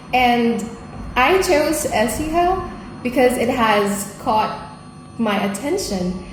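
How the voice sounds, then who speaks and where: A woman from the Philippines answers interview questions in a measured, composed way. Her voice sits a little high and her pace is unhurried. It sounds like a very echoey room with faint music underneath. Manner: careful, composed, somewhat formal phrasing. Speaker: language assistant. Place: school room.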